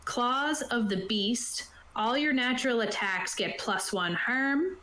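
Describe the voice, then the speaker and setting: A woman's voice: fairly high pitch, animated, slow pace, bright, forceful tone, roomy sound, rough recording. Female game master, casual and chatty, explaining rules on an online call. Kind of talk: casual tabletop game prep, reading rules aloud. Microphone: own microphone on an online voice call.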